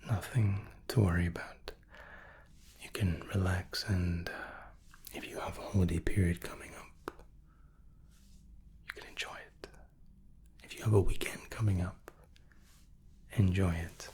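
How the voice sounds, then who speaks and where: Slow, low whispering man in ASMR roleplay. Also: fairly even intonation, husky. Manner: gentle, reassuring, unhurried. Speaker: ASMR roleplay host playing an examining doctor.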